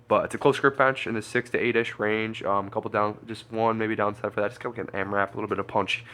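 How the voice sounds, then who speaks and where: A congested man, a strength coach, chatting casually through a training vlog and thinking out loud. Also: fairly low pitch, steady tone, fast. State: congested, stuffy nose.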